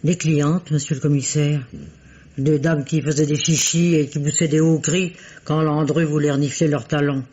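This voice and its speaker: An actress playing a nosy, talkative concierge in a French radio mystery, colloquial and quick to justify herself. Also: female voice, low voice, fairly even intonation, warm tone, slightly rough. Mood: chatty. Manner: colloquial, gossipy, self-justifying. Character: nosy, gossipy female concierge, talkative and defensive. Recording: dull lo-fi radio recording.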